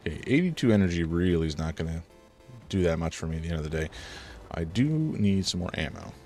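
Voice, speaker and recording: A man live-streaming a horror game, rambling through his commentary, chatting with viewers, and reacting loudly to scares, a little congested. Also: low-pitched, expressive, fast talker, soft background music. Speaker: gaming streamer.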